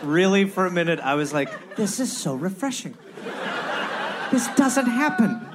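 High-pitched, very animated male co-host, incredulous riffing, clear stage noise behind. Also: measured pace, crowd noise. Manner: reactive, incredulous, acts out the characters in goofy voices. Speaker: podcast co-host. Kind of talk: live comedy podcast banter. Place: stage before a live audience.

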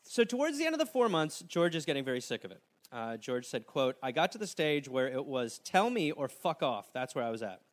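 A man's voice: mid-range voice, a little fast. A man hosting a comedy podcast, telling a story in a jokey way and slipping into silly voices. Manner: animated, jokey, slips into silly put-on voices. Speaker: podcast host.

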